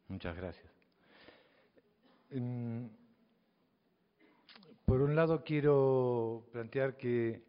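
An older man with a Southern Cone Spanish accent addresses an audience in a measured, reflective way, with deliberate pauses and a provocative edge. His voice sits at a middle pitch and carries a long reverb from a large hall. The sound is a bit dull and muffled. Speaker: speaker, mathematics teacher. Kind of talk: public speech to an audience.

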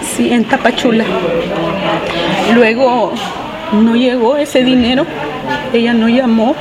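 A woman's voice: a little high, steady tone, low-quality recording. A woman in her forties from Honduras, gives an earnest, pleading street interview as a migrant mother searching for her daughter, stumbling over her words at times. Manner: earnest, pleading, halting restarts. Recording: loud crowd noise outdoors.

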